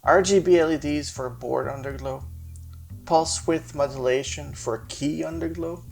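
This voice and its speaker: A man with a slightly gravelly voice explains his hardware project in a measured, methodical tech demo narration. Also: a little high, darkish tone, soft background music. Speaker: maker presenting his hardware and firmware project.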